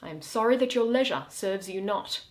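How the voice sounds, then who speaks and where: A woman's voice: mid-range voice, expressive, moderate pace, voice pushed down, lightly acted. A woman reading an authoritative, stern duke in Shakespearean verse. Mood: courteous. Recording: roomy, echoey indoor recording.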